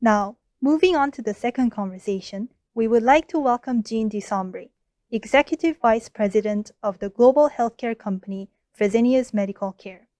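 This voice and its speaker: Sex: woman. Role: event emcee. Style: scripted, formal introduction. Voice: high, mellow, dry. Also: normal speed, no echo. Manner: formal, even, reading an introduction. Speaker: emcee, announcer.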